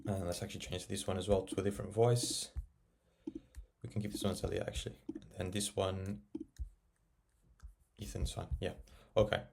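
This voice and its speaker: A man narrating a casual software tutorial, explaining and thinking aloud as he works. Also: low voice, little pitch movement, normal speed, gravelly, long pauses, noisy background. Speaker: tech tutorial presenter.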